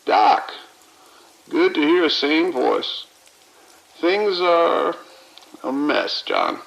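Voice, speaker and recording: A man's voice: a little high, creaky, fairly bright tone, full character voice, low-quality recording. A man playing a weary, overwhelmed but friendly police officer in an audio drama, talking informally with exasperation. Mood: harried, friendly. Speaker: police officer at operations desk. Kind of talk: audio drama acting, phone call.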